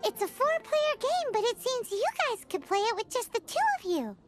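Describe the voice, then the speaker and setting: A woman voicing a tiny, excitable, childlike fairy companion girl in a video game, nosy and blunt, with a squeaky voice. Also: normal speed, noisy background. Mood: excited, curious. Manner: exclamatory, talks about self in third person. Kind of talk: video game character dialogue.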